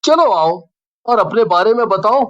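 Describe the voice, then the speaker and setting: Woman voicing a slow-talking, confident young male trainer. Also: medium pitch, lively intonation, dull audio, natural voice. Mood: confident, flattering. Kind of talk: Hindi horror cartoon character voice.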